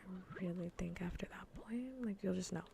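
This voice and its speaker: Softly whispering woman with a creaky low voice. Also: normal speed, noisy background. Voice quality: soft, breathy whisper. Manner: playfully bossy, dry sarcasm. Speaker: livestreamer. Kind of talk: ASMR gaming stream chat, mostly whispered.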